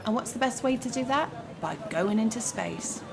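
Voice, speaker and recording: A woman's voice: on the high side, expressive, moderate pace, gravelly, noisy background. A woman playing a gullible, opinionated young woman in a satirical audio drama, breezily sure of muddled facts. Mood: confidently explaining. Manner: confidently misinformed, breezy, casual.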